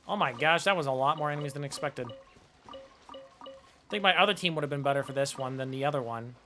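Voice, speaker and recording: A man's voice: on the high side, fairly quick, sharp tone, a little hiss or hum. A man giving casual, chatty, playful commentary while live streaming a video game. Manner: chatty, playful, muttering nonsense syllables.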